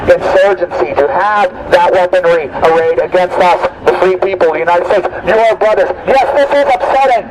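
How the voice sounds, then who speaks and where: A man's voice: sits high, a little fast, few pauses. A man speaking as a protest activist and citizen reporter, declamatory and pleading, making an impassioned speech out on a city street. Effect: bullhorn amplification. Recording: very noisy outdoor street, loud crowd, traffic.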